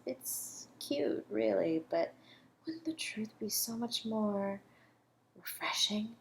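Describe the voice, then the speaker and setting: A woman playing a suave, flirty academic suspected of murder in an audio roleplay, teasing and condescending, drawing out her words with breathy asides. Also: mid-range voice, a little mellow, slight background noise. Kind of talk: scripted audio roleplay monologue.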